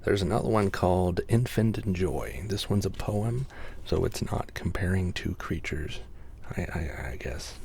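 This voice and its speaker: A man reading bedtime stories in soft-spoken ASMR style, slow and breathy, with wry casual asides. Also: animated, slight background noise. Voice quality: deep, soft, breathy near-whisper. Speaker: ASMR reader.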